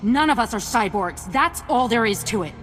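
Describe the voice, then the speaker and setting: A woman's voice: a little high, lively intonation, moderate pace, a bit bright. A woman playing a defiant civilian captive in a video game, urgent and insistent. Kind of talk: video game cutscene acting. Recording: long reverb over music.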